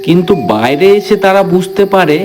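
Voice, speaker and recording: A male narrator reads a scripted horror cartoon story. His voice sits a little high for a man, with background music underneath. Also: animated, a little fast.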